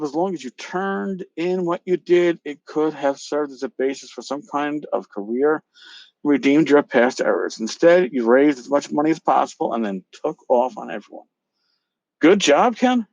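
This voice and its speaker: A man's voice: a little high, a little mellow, dry recording, rough recording. Man reading as a scornful, relentless accuser. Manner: sarcastic, accusatory, long-winded. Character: scornful, relentless accuser lecturing a lying grifter. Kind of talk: dramatic reading of an email exchange.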